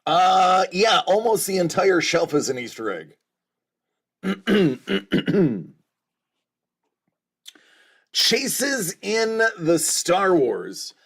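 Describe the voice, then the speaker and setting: A man's voice: high-pitched, very animated, drawn-out words, pauses often, breathy edge. A man hosting a casual livestream, joking along and reading out viewers' chat messages. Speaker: livestream host.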